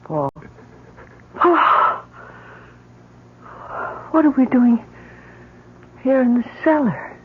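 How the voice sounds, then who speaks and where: Radio melodrama role: bereaved wife, desperate and fragile, very slow speech, muffled old recording with noise. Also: female voice, high-pitched, big pitch swings, heavily acted. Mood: dazed, subdued. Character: grief-stricken, pleading wife and bereaved mother.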